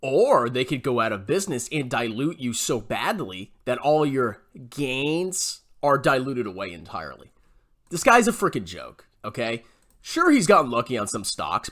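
A man's voice: medium pitch, fairly bright tone. A man giving blunt, exasperated, sarcastic reaction commentary in a small room at home. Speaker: YouTube host reacting to a video.

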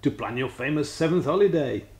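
Man doing a helpful French passenger; French accent, polite and keen, gravelly, bouncy pitch. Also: expressive, fairly quick, darkish tone. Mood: curious. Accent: French, put on.

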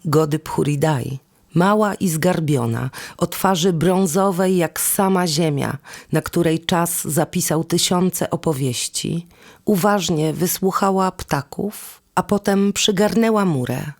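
A woman's voice: lowish voice, slow, darkish tone. A woman narrating a fairy tale expressively, with lyrical, rhythmic phrasing. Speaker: storyteller. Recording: music behind the voice. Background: instrumental music.

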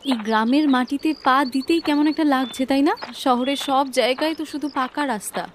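A woman playing an affectionate, bossy wife in a cartoon fairy tale, with a Bengali accent and an expressive manner. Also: high voice, moderate pace, slight background noise, close to an everyday voice. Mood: pleased. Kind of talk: cartoon voice acting, domestic dialogue.

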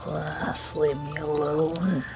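A gloomy comic character played by a woman speaks very slowly and low, barely above a murmur. The delivery is melancholy and soft, with music underneath. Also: level tone, vocal fry, exaggerated voice. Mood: quietly threatening. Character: gloomy, soft-spoken top-hatted character sighing "alas". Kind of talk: amateur comic dub.

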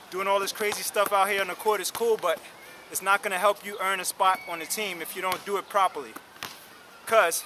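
A man in his thirties on an outdoor basketball court, talking straight to the camera in an energetic, slangy, encouraging way while tired from drills. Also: sits high, a little hiss or hum. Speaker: basketball vlogger. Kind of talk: casual coaching talk to camera. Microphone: camera mic close up.

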